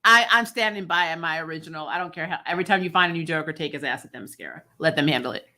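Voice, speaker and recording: A woman's voice: deep voice, very fast. A woman in casual, opinionated conversation, arguing bluntly and swearing freely. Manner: blunt, animated arguing, sweary, laughs often. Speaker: host of a comics and film review channel.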